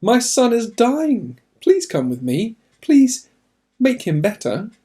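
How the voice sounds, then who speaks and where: A man's voice: very high-pitched, huge pitch swings, slow, warm tone, voice pushed up, exaggerated voice. A man voicing an emotional, exclaiming father in a children's Bible story. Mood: desperate pleading. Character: desperate, pleading, then overjoyed father in a children's Bible story.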